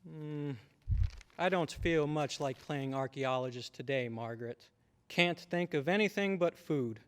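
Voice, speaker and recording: A man's voice: on the high side, a little slow, clear, resonant tone, lightly acted. A man playing a genial, teasing American father in an old-time radio drama, easygoing and jokey. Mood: amused, relaxed.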